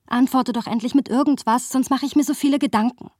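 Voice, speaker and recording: A woman's voice: high voice, fast talker, darkish tone, dry recording, studio quality. An actress playing a reflective young woman who narrates a radio play in literary style. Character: reflective young woman narrating her friendship in first person.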